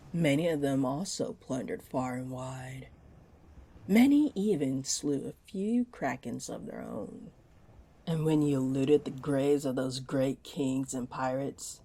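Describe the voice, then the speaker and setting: A woman's voice: rumbling low, warm tone, slightly rough, exaggerated voice. A woman playing a flirty, scheming mermaid in a roleplay audio drama, teasing and coaxing her listener. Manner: teasing, coaxing, slow and deliberate. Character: flirty, scheming female mermaid, sweetly tempting a pirate.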